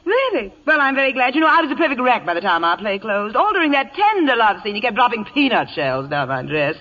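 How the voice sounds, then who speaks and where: A woman's voice: on the high side, sing-song, fast talker, a bit bright. A woman playing a vain, affected glamorous actress in an old-time radio comedy, gushing and catty. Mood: catty, airy. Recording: muffled vintage radio broadcast recording.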